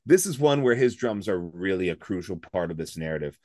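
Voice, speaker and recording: A man in his forties with a slightly raspy voice, co-hosting a casual music podcast and talking enthusiastically, with lots of fillers and restarts. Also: sits low, expressive, brisk, darkish tone, no room sound, clean studio sound. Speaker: podcast co-host.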